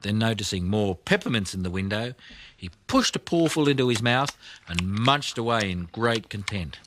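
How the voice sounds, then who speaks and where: A man reading a children's audiobook as narrator, telling the story expressively with deliberate emphasis. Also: fairly low pitch, sing-song, noisy background.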